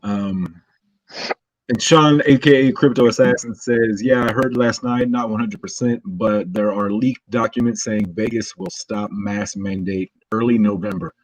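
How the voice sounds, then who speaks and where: A relaxed man hosting a stream, his voice sitting a little low. He talks in an easygoing drawl, pausing on "uh" and "um" as he reads out chat and chats along. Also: a little hiss or hum, poor audio. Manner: laid-back, drawling, many "uh" and "um" fillers. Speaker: livestream host. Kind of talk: casual chat, reading chat messages.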